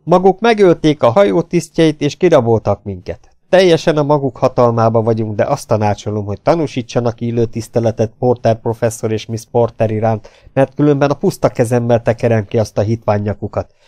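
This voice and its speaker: A man voicing a formal, spirited young gentleman in an audiobook adventure story. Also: medium pitch, expressive, no room sound, close to an everyday voice. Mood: indignant, threatening. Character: earnest, defiant young gentleman, protective and hot-tempered.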